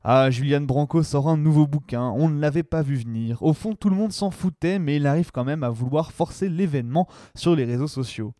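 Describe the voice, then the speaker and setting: A man's voice: on the high side, fairly quick, few pauses, mellow. A man presenting scripted radio commentary in a slangy, colloquial, sardonic way.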